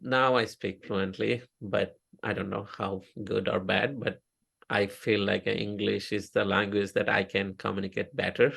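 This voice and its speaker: A middle-aged man with an Indian accent, a native Oriya speaker, talks through his career in a casual interview. His low, gravelly voice is halting, full of fillers and restarts. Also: flat delivery, a bit bright. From India (Odisha). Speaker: interview guest, software engineer.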